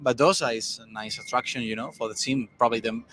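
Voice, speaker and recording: A man's voice: mid-range voice, steady tone, quick pace, fairly bright tone, slight background noise, rough recording. A man, a tennis podcast co-host, talking through match results in casual conversation with many restarts and fillers.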